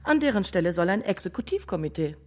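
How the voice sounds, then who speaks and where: A foreign informant in a radio crime drama, speaking broken German with words left out. She talks quickly, and her voice rises and falls a great deal, grave and insistent. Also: medium pitch, sing-song.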